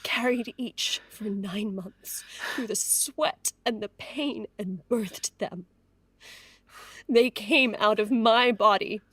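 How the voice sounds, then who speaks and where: An actress playing a distraught, devoted mother in a period audio drama, pleading in an earnest, halting, emotional way. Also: mid-range voice, fairly bright tone, slightly rough, no echo. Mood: grieving, earnest.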